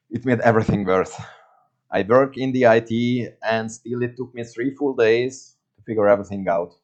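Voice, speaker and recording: Man, Hungarian accent, lowish pitch, video reviewer, scripted delivery, dull muffled recording. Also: darkish tone. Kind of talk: scripted product review to camera.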